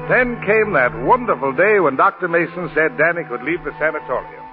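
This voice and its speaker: An actor playing a reflective narrator in a 1940s radio drama, telling the story in a measured, conversational way. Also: male voice, a little high, expressive, lightly acted. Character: reflective male storyteller recalling his friend's marriage. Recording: tinny 1940s radio broadcast. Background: music.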